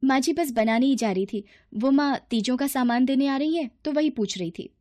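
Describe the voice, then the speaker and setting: A woman voicing a gentle young daughter-in-law in a moral story, polite and earnest in manner. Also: high-pitched, moderate pace, dry recording, pitched above the performer's own voice, natural voice. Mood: anxious, eager.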